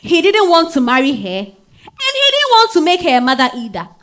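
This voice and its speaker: An actress with a Ghanaian accent playing a bitter, grieving betrayed wife in a staged dramatic monologue, full of sighs and exclamations. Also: female voice, extremely high pitch, huge pitch swings, a bit bright, exaggerated voice. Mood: weary, lamenting. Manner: theatrical, exclamatory, with drawn-out sighs and interjections.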